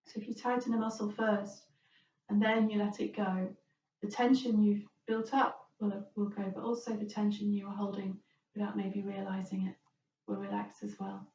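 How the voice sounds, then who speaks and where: A woman leads a guided relaxation as a yoga teacher, speaking in a gentle, reassuring way at a medium pitch. She invites the listener to choose whatever suits them. The recording is roomy and somewhat distant from the microphone, with a dull tone. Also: flat delivery, normal speed, slight background noise.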